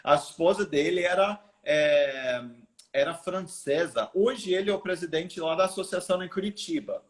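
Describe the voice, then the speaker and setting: A man's voice: high voice, moderate pace. An American man, a missionary guest, telling stories earnestly over a video call, with frequent restarts and a noticeable American accent. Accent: American English. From United States. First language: English. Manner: earnest storytelling with many restarts. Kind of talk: live video interview.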